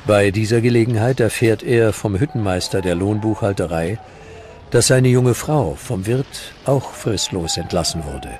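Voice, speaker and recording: Low-pitched male documentary narrator over music. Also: a little mellow.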